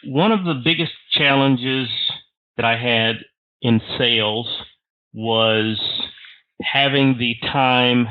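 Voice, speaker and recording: Male interview host, halting deliberate phrasing, formal questions, medium pitch, tinny phone-band recording. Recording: narrow phone-like line.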